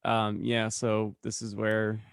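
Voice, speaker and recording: A man's voice: on the low side, monotone, takes it slowly, creaky, clear, resonant tone. A man co-hosting a podcast in casual, joking banter. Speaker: podcast co-host.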